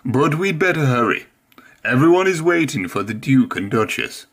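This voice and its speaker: A big, proud male steam engine from a children's storybook, read by a man. He is helpful and matter-of-fact, with lively intonation and a slightly husky tone. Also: medium pitch, normal speed.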